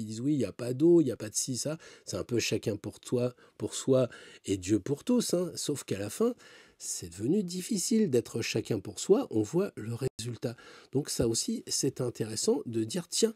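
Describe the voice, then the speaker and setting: A man's voice: medium pitch, mellow, husky, dry recording, studio quality. A man talking about astrology in a casual, rambling way, with many fillers and asides. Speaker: astrologer and tarot reader. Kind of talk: casual spoken commentary.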